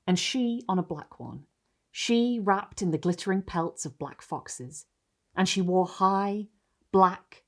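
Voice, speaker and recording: Female literary narrator, lowish pitch, highly varied intonation, theatrical and deliberate. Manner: expressive, theatrical, deliberate phrasing. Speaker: host and reader of a literary video series. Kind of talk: dramatic storytelling, scripted narration.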